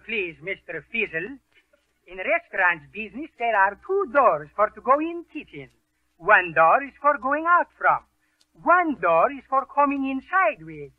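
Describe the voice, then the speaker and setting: An actor playing an excitable immigrant restaurant owner in a 1930s radio comedy, with a Greek accent and broken English. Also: male voice, very high-pitched, no room sound, full character voice. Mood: exasperated. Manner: broken English, mixed-up grammar. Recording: tinny, band-limited 1930s radio recording.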